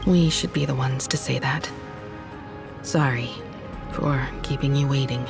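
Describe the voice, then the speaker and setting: A woman voicing a composed, gently teasing woman adventurer in a video game, with a poised, gentle manner. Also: rumbling low, steady tone, unhurried, creaky, heavily acted. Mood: warm, relaxed. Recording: loud music under the voice.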